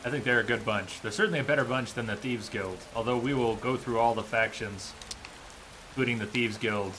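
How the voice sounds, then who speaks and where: A man's voice: mid-range voice, a little fast, pushed and bright, a little hiss or hum. A man giving casual, musing live commentary as he streams a video game. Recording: faint game rain behind voice. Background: game ambience and rain.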